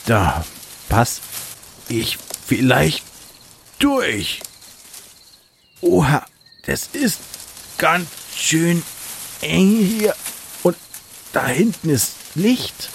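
Actor as an easygoing man, slow and animated. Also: a little high, gravelly, slight background noise, heavily acted. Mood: astonished, curious. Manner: muttering to himself, exclamatory. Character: easygoing solitary man chatting to his dog. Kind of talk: German children's radio play acting.

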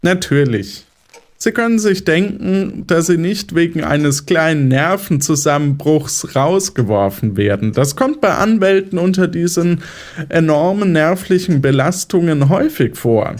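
A high-pitched, very animated male psychiatrist acted by a man in an audio drama. He lectures his patient with condescending, controlling assurance, swinging widely in melody as he explains. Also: measured pace. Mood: patronizing, soothing. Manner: condescending, didactic. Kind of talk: German audio drama acting.

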